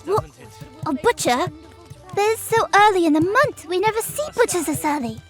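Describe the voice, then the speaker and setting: A woman's voice: very high voice, animated, normal speed, faint music behind. A woman playing a scrappy, reckless young street urchin girl in an audio drama, feisty, defiant and dramatic. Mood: eager surprise.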